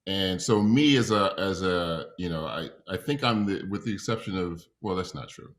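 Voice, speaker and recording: A low, deep-voiced man tells an informal story on a video call. He goes at it haltingly, circling back and dropping "like" into his sentences. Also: lively intonation. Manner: halting, peppered with "like". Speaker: actor, cast member. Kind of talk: casual anecdote.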